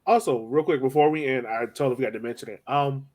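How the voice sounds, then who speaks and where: A man's voice: mid-range voice, fast, darkish tone, slight background noise. A man hosting a casual sports podcast, chatting informally and speaking straight to his co-hosts. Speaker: podcast host.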